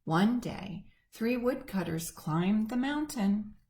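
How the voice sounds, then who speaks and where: Slow, expressive woman reading a storybook. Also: medium pitch, a little hiss or hum. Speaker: church children's storyteller. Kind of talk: reading a picture book aloud to children.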